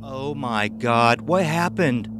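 A man's voice: mid-range voice, animated, slow pace, music in the background, voice pushed up. A man voicing a shocked chubby accountant in his fifties for a horror audio story.

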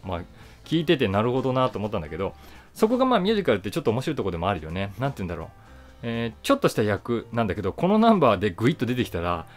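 Guy in his 40s chatting casually to camera, lowish voice that swings up and down a lot, faint music behind. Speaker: actor and voice actor hosting his own channel. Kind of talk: casual reflective monologue to camera. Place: small indoor room, seated before camera.

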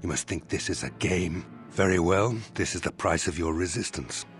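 A man's voice: low voice, moderate pace, faint music behind, close to an everyday voice. An actor playing a cold, smug older male fleet admiral in a sci-fi game, speaking with formal, condescending menace. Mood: impatient, threatening. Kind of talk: dramatic video game acting.

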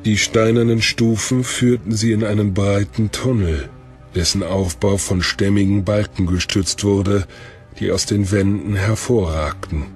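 A man narrating a fantasy adventure in a deliberate, descriptive storytelling style. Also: on the low side, fairly even intonation, faint music behind, lightly acted. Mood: atmospheric. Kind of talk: scripted narration.

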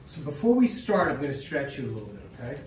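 A man's voice: mid-range voice, very animated, fast. A man in his fifties, a chiropractor hosting a casual treatment video, giving instructions and reassuring the patient as he goes. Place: small indoor treatment room. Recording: roomy, distant mic with clear background noise.

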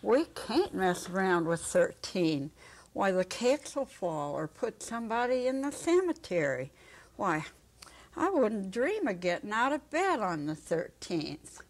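A woman's voice: medium pitch, sing-song, measured pace. An elderly woman reading the part of a superstitious, devout, childlike old woman in a folksy, earnest dramatic story reading. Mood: worried. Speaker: dramatic reader. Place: small indoor stage set.

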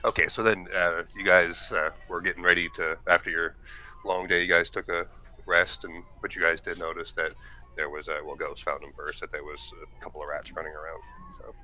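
A man running a tabletop game as game master, recapping in a loose, halting way with many fillers. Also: sits low, flat delivery, pushed and bright. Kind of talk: casual tabletop game session over voice chat. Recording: dull lo-fi voice chat with faint hiss.

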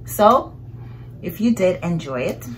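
Animated woman storyteller, slow and expressive. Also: fairly low pitch, a little hiss or hum. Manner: expressive, animated, exclaiming and asking questions. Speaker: read-aloud storyteller for young children. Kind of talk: children's picture book read-aloud, improvised narration with questions. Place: small indoor living room, seated on a couch.

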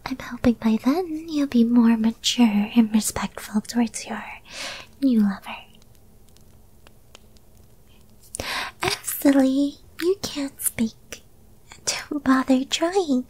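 A woman voicing a possessive, lovestruck young witch girlfriend in an ASMR roleplay, in a breathy, cooing and controlling manner. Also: high-pitched, a little mellow, exaggerated voice, low-quality recording. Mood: soothing, smug.

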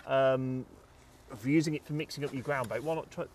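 A middle-aged British man presenting fishing gear outdoors by a lake, explaining uses and value in a steady, practical way. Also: mid-range voice, a little fast, a little hiss or hum. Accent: British English. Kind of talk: casual review chat.

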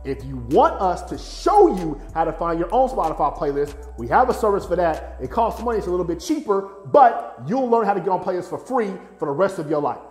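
A man's voice: high-pitched, very animated, a little fast, hardly any pauses, a little mellow, roomy sound, soft background music. An American man in his thirties giving a blunt, emphatic, slangy explanation to camera, swearing freely. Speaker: music promoter and video host. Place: small indoor office studio.

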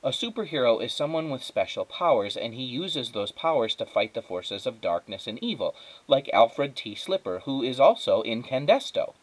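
Storybook role: cynical but earnest young girl, bossy, excitable, emphatic and conversational, read by a man. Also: mid-range voice, moderate pace, few pauses, voice pushed up, low-quality recording. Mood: explaining.

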